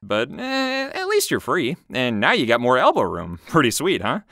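A man hosting his own animated show in scripted comic banter, with an animated delivery. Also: medium pitch, normal speed, no echo, studio quality. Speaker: show creator and host.

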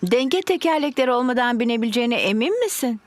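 A woman voicing a caring, gently teasing mother pig in a children's cartoon, with an encouraging, motherly way of talking. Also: a little high, expressive, quick pace, no room sound, clean studio sound, natural voice. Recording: music behind the voice.

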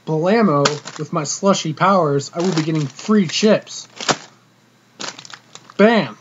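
A man's voice: a little high, slow pace. Cocky young man, dull hissy webcam audio. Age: young adult. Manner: cocky, slangy, animated. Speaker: vlogger, prank caller. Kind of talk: casual comedic vlog chat to camera. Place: small room indoors.

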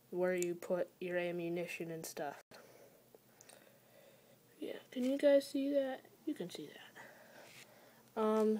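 Slow, hesitant young woman building toys. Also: medium pitch, dark tone. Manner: slow, hesitant, many fillers and pauses. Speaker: toy-building tutorial host. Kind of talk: casual how-to narration. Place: small dim room at home.

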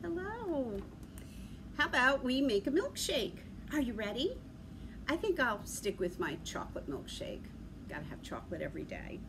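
A middle-aged woman talking to young children as a library story time host, in an animated, sing-song way with simple questions. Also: high-pitched, moderate pace. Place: large library room with big windows, indoors. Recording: clear background noise, room ambience.

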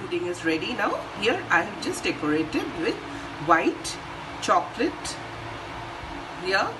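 A woman with an Indian accent narrating a recipe step by step as a home cooking vlogger, in a halting, repetitive way. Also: medium pitch, very animated, far from the mic, noisy background. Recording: roomy echoing home kitchen recording. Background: music.

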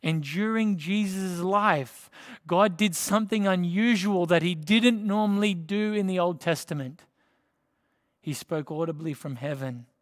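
An Australian man in his thirties preaches from a lectern, his voice sitting a little high for a man. He is earnest and emphatic, and he leans on dramatic pauses. The sound carries the long reverb of a church hall. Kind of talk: sermon. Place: church stage behind a lectern.

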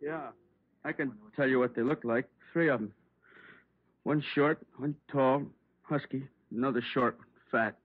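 An actor playing a hurt, trembling liquor store owner who speaks haltingly in an old radio drama. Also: male voice, medium pitch, steady tone, slightly rough, soft background music. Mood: shaken. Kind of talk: 1950s radio drama acting. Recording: dry, muffled old radio recording.

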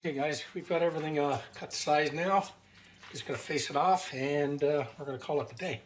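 A man in his fifties casually narrating his work in a machine shop, relaxed and pausing often with fillers. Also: a little high, recorded from a distance. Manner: relaxed, talks while working, many "uh" fillers. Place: large home machine shop, indoors. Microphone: fixed camera across the room. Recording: roomy shop echo, off-mic, loud machine noise.

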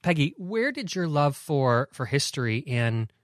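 A man's voice: fairly high pitch, big pitch swings, measured pace, no echo, studio quality. A man hosting a podcast, asking friendly conversational questions while seated aboard a submarine. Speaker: podcast host. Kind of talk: podcast interviewing. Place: submarine galley.

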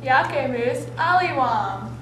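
A teenage girl co-hosting a playful mock cooking show with short, deadpan lines and giggles. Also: high-pitched, slow. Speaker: co-host. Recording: echoey room with faint hum.

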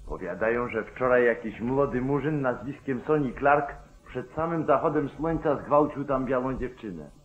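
A dull, lo-fi vintage radio recording of an actor as a sombre deputy. The man reports in a grave way, in a medium-pitched voice. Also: normal speed, hardly any pauses, lightly acted. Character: sombre deputy reporting grim news to sheriff. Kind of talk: 1940s Polish radio play acting. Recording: muffled old 1949 radio recording.

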